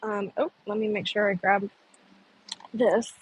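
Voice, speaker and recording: A woman's voice: mid-range voice, steady tone, measured pace, slightly rough, low-quality recording. A woman live-streaming from a phone on a gimbal, chatting casually with her viewers with lots of fillers and giggles. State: a little thirsty. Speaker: livestreamer.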